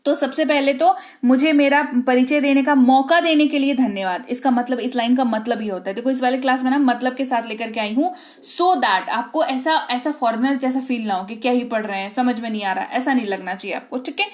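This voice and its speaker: A young woman with an Indian accent teaching an English lesson, speaking slowly and emphatically and often checking that her students follow. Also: high-pitched.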